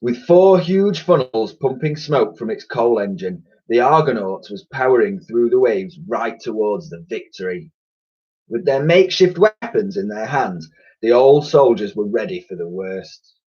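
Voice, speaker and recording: A male primary school teacher reading a children's book aloud with animated storytelling. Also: mid-range voice, unhurried, breathy edge. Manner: lively, animated storytelling with sound effects. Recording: lo-fi recording.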